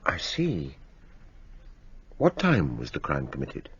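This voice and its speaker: An actor playing a formal magistrate who questions a witness in measured tones. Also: male voice, a bass voice, wildly swooping pitch, dull audio, a little hiss or hum, heavily acted. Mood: probing. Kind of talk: radio drama acting.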